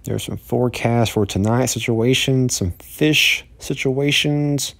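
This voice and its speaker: A man's voice: mid-range voice, slow, creaky, a bit bright, no room sound. A man, filming a casual walk-through vlog of a big store on his phone, rambling and repeating himself. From Mississippi, USA. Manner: rambling, repeats words, upbeat. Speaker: vlogger. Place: large indoor store. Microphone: handheld phone.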